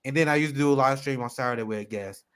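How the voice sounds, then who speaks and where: A man's voice: mid-range voice, racing, a bit bright, clean tone. A man hosting a political livestream, casually running through the show schedule in an upbeat way. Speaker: host.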